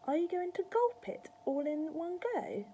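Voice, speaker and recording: A woman plays an eager young boy from a storybook, high in pitch and very animated. He sounds polite and cheeky, given to exclaiming. A steady hum sits behind the voice. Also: a little slow, heavily acted. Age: child. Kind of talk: children's storybook character voice.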